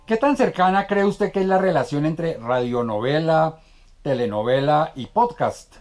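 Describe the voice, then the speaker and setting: Lively, low-voiced Colombian woman host. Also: measured pace, music in the background. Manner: formal, courteous. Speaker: TV host, interviewer. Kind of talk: polished broadcast interviewing.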